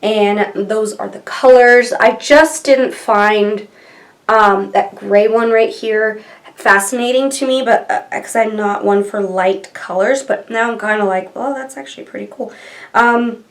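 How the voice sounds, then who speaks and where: A woman's voice: mid-range voice, unhurried. A woman in her thirties with a stuffy nose from allergies, chatting casually and rambling as she reviews products in a small room at home. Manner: chatty and rambling, gushing over colors. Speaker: beauty vlogger. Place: small bedroom filming nook, indoors.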